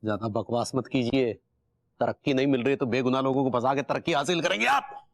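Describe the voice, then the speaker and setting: An actor playing a nervous, defensive middle-aged neighbour who stammers when cornered in a sitcom. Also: male voice, mid-range voice, brisk, dry recording, lightly acted. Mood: flustered.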